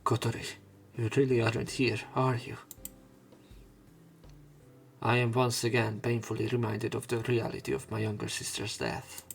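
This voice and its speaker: A man's voice: mid-range voice, level tone, normal speed, gravelly. A man reading visual novel narration aloud as a let's player, with casual commentary. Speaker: let's play streamer.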